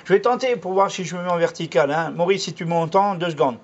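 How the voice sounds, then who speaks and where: A man with a fairly high voice chats casually over CB radio. His talk is colloquial and repetitive, full of tag words. The sound is dull and lo-fi, as heard through a radio. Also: fast talker. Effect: radio transmission. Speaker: amateur CB radio operator. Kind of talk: casual radio contact chat.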